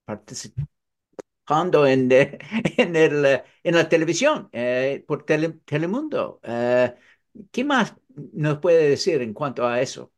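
A man hosting an interview, asking polite questions in a hesitant, halting way. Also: fairly high pitch, sing-song. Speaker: interview host.

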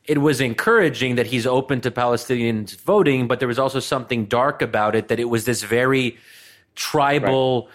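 A man's voice: medium pitch, moderate pace. A man co-hosting a podcast, telling a story in a rambling, casual way with plenty of fillers. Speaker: podcast co-host. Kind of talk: casual discussion.

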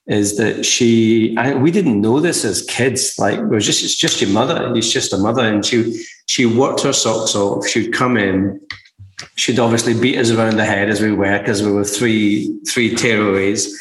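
A man from Glasgow with a softened Scottish accent, telling his own story casually and haltingly as a podcast guest. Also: lowish voice. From Glasgow, Scotland. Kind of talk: casual personal storytelling in an interview. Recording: echoey room sound.

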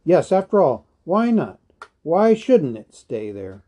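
A man's voice: a little high, sing-song, takes it slowly, warm tone, voice pushed up, exaggerated voice. A man voicing an elderly hobbit in a storybook reading, fretful, stubborn and possessive, with a querulous, insistent manner. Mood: flustered, impatient.

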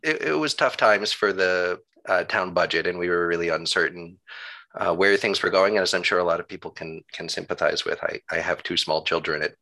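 A good-humored man in his thirties talks casually as an interview guest. His voice is low and creaky, with a bright, forward tone that keeps it clear-cut. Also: brisk. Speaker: interview guest, town clerk.

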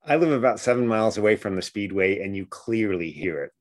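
Man, low voice, podcast co-host, casual, filler-heavy, eager. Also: lively intonation. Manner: enthusiastic, halting with many fillers.

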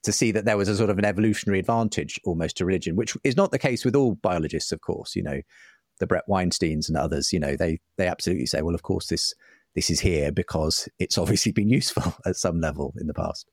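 A man's voice: low-pitched, quick pace, no echo, clean studio sound. A man, talking thoughtfully and agreeably, a little hesitantly, as a guest in an interview. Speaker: interview guest, writer and broadcaster. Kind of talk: conversational interview.

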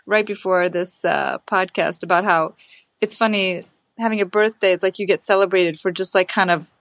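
A woman's voice: fairly low pitch, fairly even intonation, normal speed, a bit bright. A woman in her thirties hosting a podcast interview, playful and teasing, laughing often. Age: 30s. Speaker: podcast host. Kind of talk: casual interview.

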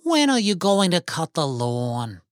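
Seeking an old immigrant wife: sharp tongue, Yiddish-American lilt, high animated delivery, storybook narration. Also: male voice, unhurried, no echo, clean studio sound. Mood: nagging. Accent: Yiddish-inflected American English. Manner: querulous, rhetorical questioning.

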